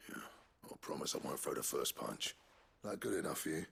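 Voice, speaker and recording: An actor playing a gruff, proud master blacksmith who grumbles and swears bluntly in a video game. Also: male voice, extremely low pitch, very animated, fairly quick, a bit bright, a little hiss or hum, exaggerated voice. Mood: sarcastic, irritable. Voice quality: gravelly. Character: gruff, proud, foul-mouthed village-born master blacksmith.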